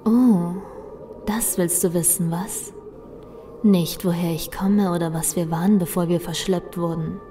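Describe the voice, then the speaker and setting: Woman acting cruel, slow-talking female villain. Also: fairly low pitch, steady tone, warm tone, faint music behind, close to an everyday voice. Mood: mocking, gloating. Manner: taunting, menacing. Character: cruel female villain, vengeful gang leader, mocking. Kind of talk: German audio drama acting.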